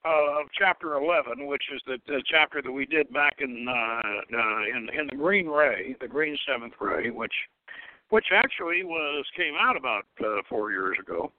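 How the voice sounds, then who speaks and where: A man hosting a talk radio show in a measured, sometimes halting way, introducing and reading from his notes. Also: mid-range voice, animated, dry recording. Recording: tinny phone-like line, dry.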